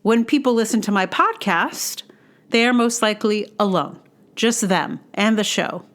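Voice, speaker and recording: A woman hosting a podcast, reading her own personal essay aloud in a measured, deliberate way with casual asides. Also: medium pitch, animated, a bit bright. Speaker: podcast host and writer.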